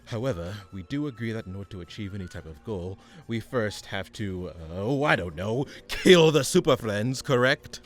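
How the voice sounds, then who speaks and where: A man's voice: on the low side, lively intonation, moderate pace, hardly any pauses, faint music behind, natural voice. A man playing an exasperated, condescending supervillain mastermind in a comic radio play, pompous in his delivery.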